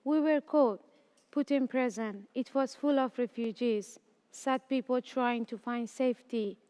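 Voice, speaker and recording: High-voiced young woman in a reverberant hall. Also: darkish tone, clear, resonant tone. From Afghanistan and Iran. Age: late teens. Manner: slow, careful, earnest reading. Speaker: student. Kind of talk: reading a personal story.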